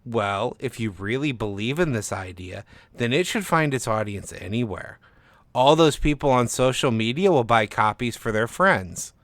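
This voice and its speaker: A man's voice: mid-range voice, expressive, normal speed, a bit bright, dry recording, lightly acted. A man voicing a stubborn, defensive, self-important novelist in a short story reading, speaking insistently and indignantly.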